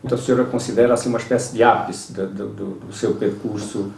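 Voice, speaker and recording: A man's voice: lowish voice, fairly even intonation, quick pace, creaky, a little mellow, slight background noise. Distant, echoey Brazilian male interviewer. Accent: Brazilian Portuguese. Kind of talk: hesitant interview question. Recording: echoey room, far from the mic.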